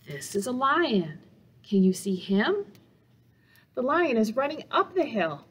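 Woman, middle-aged, storytime read-aloud, very lively intonation, slow pace, simple deliberate phrasing. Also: a little high, slightly rough. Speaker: children's librarian and storytime host. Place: small office room indoors.

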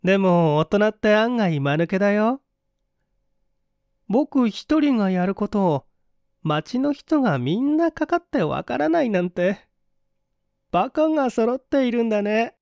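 A man's voice: high voice, very animated, a little slow, long pauses, darkish tone, no room sound, studio quality, pitched above the performer's own voice, full character voice. A man voicing a cruel fifteen-year-old boy killer in an audiobook story, boastful, mocking and unrepentant. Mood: gleeful boasting.

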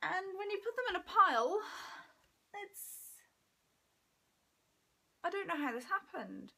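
A woman in her twenties giving an enthusiastic, expressive book review in casual chat to camera at home. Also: mid-range voice. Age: 20s. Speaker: book vlogger. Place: small room at home by a window.

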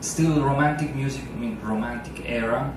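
A man's voice: medium pitch, moderate pace, background noise. A man in his thirties, a native Italian speaker, chatting and joking with the audience between piano pieces. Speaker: pianist entertainer. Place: small ship lounge stage, indoors. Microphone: handheld mic, filmed by far camera. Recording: echoey lounge from far off.

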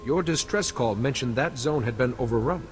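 A man's voice: medium pitch, lively intonation, moderate pace, natural voice. An actor playing a composed, formal, dutiful male hero in a video game, speaking resolutely. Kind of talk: scripted video game dialogue. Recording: reverberant game audio. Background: game music.

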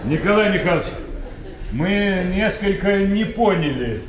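An actor playing a pushy, coaxing middle-aged son-in-law in a radio play. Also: male voice, sits high, expressive, measured pace, echoey room, distant mic, background noise. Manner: insistent, coaxing. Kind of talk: 1990 radio play acting.

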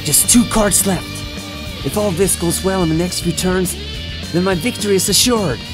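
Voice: mid-range voice, music in the background, close to an everyday voice. An amateur voice actor playing a tense young anime card player, delivered as an intent inner monologue in a comic dub. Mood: tense hope.

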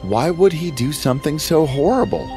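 Young cartoon prince: earnest, kind, lively intonation, prominent music behind. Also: male voice, a little high, moderate pace. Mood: concerned, indignant. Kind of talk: children's fairy-tale cartoon acting. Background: prominent background music.

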